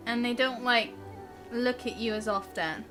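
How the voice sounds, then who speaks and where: A woman chatting casually on a game live stream, with expressive, exclamatory reactions. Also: a little high, a little slow. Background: game music.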